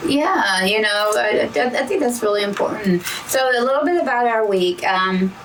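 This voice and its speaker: A woman co-hosting a casual hobby chat, hoarse and losing her voice, rambling with frequent restarts. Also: mid-range voice, lively intonation, normal speed, recorded from a distance, background noise. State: hoarse, losing her voice from talking too much. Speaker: co-host of a diamond painting channel.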